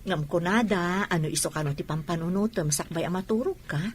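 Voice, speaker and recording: A woman's voice: lowish voice, a little fast, breathy edge. A woman playing a caring, sensible mother and friend in an audio drama. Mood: caring, reassuring. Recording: noticeable background noise.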